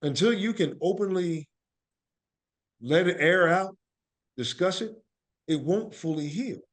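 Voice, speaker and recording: A man speaking as an interview guest, telling stories conversationally in deliberate, emphatic short phrases. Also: sits high. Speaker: podcast guest, actor and playwright.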